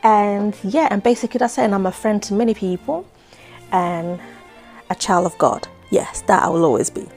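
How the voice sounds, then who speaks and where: A woman's voice: medium pitch, moderate pace, darkish tone, soft background music. A middle-aged woman, a life coach hosting her own show, talking earnestly and often saying "you know". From Democratic Republic of Congo. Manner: earnest, repeats words, frequent "you know". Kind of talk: talk-show monologue.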